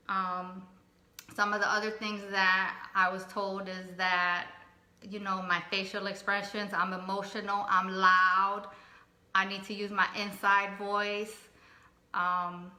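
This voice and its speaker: A woman in her forties, raised in Connecticut with Spanish as her first language, chatting casually as a co-host in an unhurried, thoughtful way. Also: mid-range voice. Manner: soft-spoken, unhurried, thoughtful with frequent fillers. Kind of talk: casual personal talk. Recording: echoey room.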